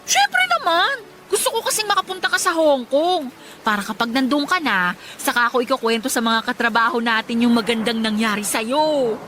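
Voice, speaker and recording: A woman's voice: a little high, lively intonation, moderate pace, pushed and bright, slight background noise. An actress playing a caring, pushy and playful mother who is someone's best friend, in a Tagalog radio drama. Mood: teasing, reassuring.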